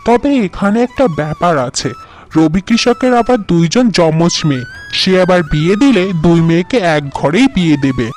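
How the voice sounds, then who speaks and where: A man's voice: sits high, expressive, normal speed, a little mellow, faint music behind. A man voicing a cheerful, joking young farmer in a cartoon, chatty and teasing.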